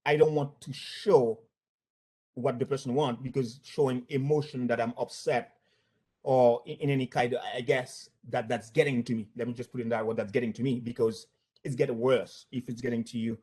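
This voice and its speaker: A man from Haiti with a Haitian accent gives a spontaneous account of workplace incidents, speaking haltingly and often repeating words and phrases. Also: mid-range voice, steady tone, dark tone, slightly rough. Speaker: former bank employee giving testimony.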